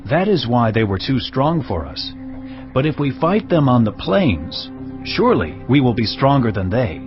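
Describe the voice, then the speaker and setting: Guy acting sly earnest king's advisor, persuasive, lively pitch, music behind, audio drama. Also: moderate pace, lo-fi sound, natural voice. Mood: urging, confident. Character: earnest, scheming royal military adviser. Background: clear background music.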